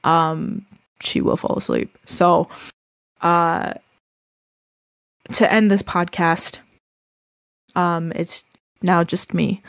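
A woman co-hosting a casual podcast, speaking gently and haltingly with many ums and restarts, her voice described as soothing. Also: lowish voice, steady tone, creaky, no echo. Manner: gentle, reassuring advice. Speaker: podcast co-host.

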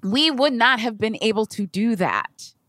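A woman's voice: a little high, lively intonation, measured pace, fairly bright tone, dry recording. Candid woman co-host, close dry sound. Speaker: podcast co-host. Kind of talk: casual conversational chat.

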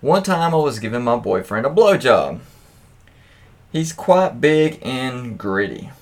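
Slow-paced man, casual and profane storyteller. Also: mid-range voice, very animated. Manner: informal, profane, reacts with interjections. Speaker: podcast host. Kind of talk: casual read-aloud of anecdotes with offhand commentary.

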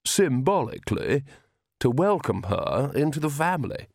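A man's voice: mid-range voice, sing-song, moderate pace, creaky, darkish tone, no room sound, studio quality, voice pushed up. Man playing a suave young male vampire. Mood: assured. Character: suave young male vampire, smooth, self-assured suitor. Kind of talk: audiobook character voice.

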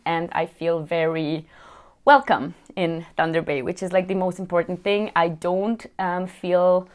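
Chatty young woman vlogging. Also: on the low side. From Switzerland. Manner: chatty, laughs often, many fillers. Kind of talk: casual chat. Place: apartment.

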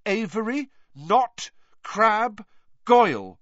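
Man playing a high-pitched, defiant teenage wizard boy. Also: very animated, very slow, fairly bright tone, dry recording, muffled recording, exaggerated voice. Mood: insistent. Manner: urgent, insistent.

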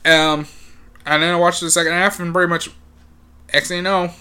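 A young man with a slightly high voice talks casually as a sports fan. He rambles, repeats himself and leans on fillers. His voice rises and gets loud whenever he hits the big moments. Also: level tone, moderate pace, clean tone. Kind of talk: casual talk to camera.

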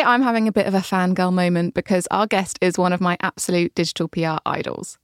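A woman's voice: mid-range voice, clean tone, dry recording, clean studio sound. A woman co-hosting a podcast, reading an effusive introduction. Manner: warm, effusive, reads intro. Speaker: podcast co-host. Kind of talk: scripted introduction and chat.

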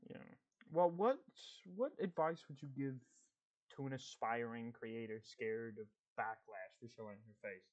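A man's voice: medium pitch, unhurried, muffled recording. A man hosting a podcast in casual chat, telling rambling stories with plenty of swearing. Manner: loose, profane, rambling storytelling. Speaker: podcast host.

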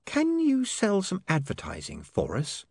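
A man voicing a prim, sharp-tongued, practical young woman in an audiobook, with a prissy and snappy manner. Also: high-pitched, huge pitch swings, measured pace, vocal fry, no echo, voice pushed up, full character voice.

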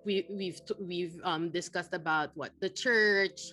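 A woman's voice: fairly low pitch, fairly even intonation, measured pace, sharp tone, faint music behind. A young woman from the Philippines hosting a podcast interview, chatty and full of fillers and restarts. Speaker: podcast host.

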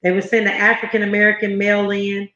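A woman's voice: mid-range voice, monotone, fast, pushed and bright. A woman in her fifties from Southern California, a guest telling her story in an earnest, emphatic way. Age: 50s. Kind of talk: interview conversation. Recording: roomy, slightly muffled sound.

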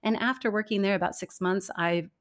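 This is a woman in her thirties, talking as an interview guest. Her delivery is animated and conversational, with frequent laughter. Her pitch sits in a middling range. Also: fairly quick. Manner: animated, laughs often, acts out quoted remarks. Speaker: guest, communication expert.

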